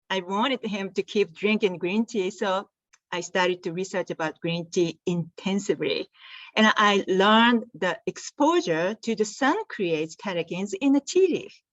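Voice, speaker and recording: A woman's voice: medium pitch, a bit bright, dry recording, dull audio. A woman from Japan with a Japanese accent, a native Japanese speaker, telling her story earnestly as an interview guest over a video call. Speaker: guest, tea company founder.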